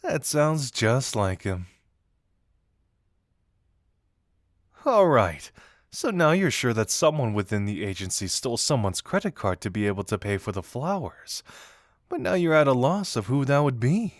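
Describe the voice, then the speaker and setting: Casting: male actor as teasing young detective confidant for audio roleplay, animated intonation, amused conversational delivery, dry close sound. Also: mid-range voice, moderate pace, dry recording, lightly acted. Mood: teasing, impressed. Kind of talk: intimate scripted audio roleplay addressed to the listener.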